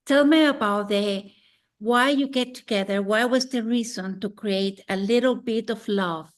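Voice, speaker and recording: Female interview host, fairly high voice, warm-up questions with restarts. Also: slow. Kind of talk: bilingual interview hosting.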